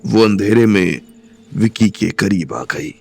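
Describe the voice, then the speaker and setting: A man narrating a romantic crime audio drama in a measured storytelling manner. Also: fairly low pitch, creaky, a little mellow, faint music behind.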